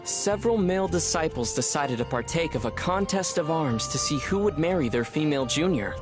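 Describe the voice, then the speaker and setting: A man playing a young adventurer hero in a video game, with a youthful voice and a measured, thoughtful, gently wry manner. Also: a little high, lively intonation, natural voice. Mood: storytelling. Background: music.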